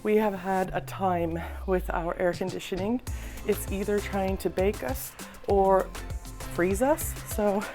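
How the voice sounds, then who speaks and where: A woman with a husky voice from a slightly sore throat, giving a relaxed walking tour of a cruise ship with frequent ums. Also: mid-range voice, measured pace, soft background music. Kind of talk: casual walking tour narration. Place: indoors, moving through a cruise ship.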